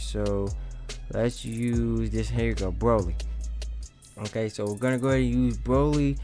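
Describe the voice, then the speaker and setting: A man's voice: medium pitch, a little mellow. Man giving a casual tutorial over music. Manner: informal, explanatory, thinking aloud. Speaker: gaming tutorial host. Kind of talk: casual step-by-step screen tutorial.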